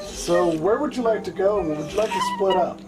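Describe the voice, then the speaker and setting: A man's voice: high voice, animated, fairly quick. A man voicing a polite, cautious male puppet ghost hunter in improvised comedy, speaking mildly and hesitantly. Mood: wary politeness. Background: music.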